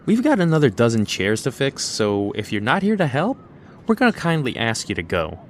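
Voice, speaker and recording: A man's voice: medium pitch, huge pitch swings, a little fast, slight background noise. Man as a principled teen boy, swooping intonation. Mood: polite, wary. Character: polite, principled well-off teenage boy. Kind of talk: audio drama dialogue.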